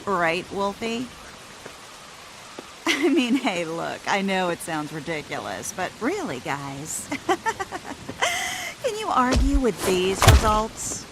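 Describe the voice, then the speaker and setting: A woman voicing a menacing, sadistic female killer in a video game, taunting and sardonic in a deliberate way. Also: medium pitch, wildly swooping pitch, noisy background. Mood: gloating, amused.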